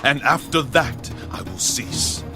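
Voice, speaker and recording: A man playing a vengeful young Hebrew strongman in a dramatised scripture reading, intense and declamatory with a gravelly voice. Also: medium pitch, sing-song, slow pace. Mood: defiant. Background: music.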